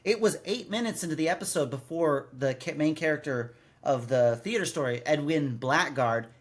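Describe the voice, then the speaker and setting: A man speaks in loose, casual conversation as a podcast host. His voice sits a little higher than average for a man. He circles around his points, breaking off and starting sentences over. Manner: rambling, halting, often restarts sentences mid-thought. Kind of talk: casual chat reviewing a radio drama.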